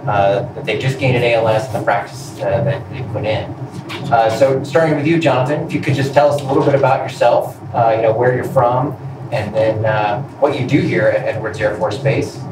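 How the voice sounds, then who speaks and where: A man's voice: mid-range voice, steady tone, fairly quick. A middle-aged man moderating a town hall panel, introducing guests and asking questions in unscripted talk. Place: large indoor room, seated on a stage. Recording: roomy echo, distant mic, noisy room.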